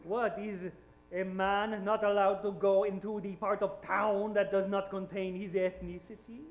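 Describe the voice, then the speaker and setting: Man playing a high-voiced, dashing club owner. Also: little pitch movement, unhurried, narrow, tinny audio. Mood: defensive. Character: defensive, dashing Portuguese club owner buying a dress. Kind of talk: old-time radio play acting. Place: live theater stage.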